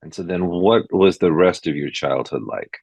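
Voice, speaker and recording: A man's voice: deep voice, animated, moderate pace, creaky, a little mellow, husky, dry recording. A man hosting a podcast interview, asking friendly, encouraging questions. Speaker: podcast host.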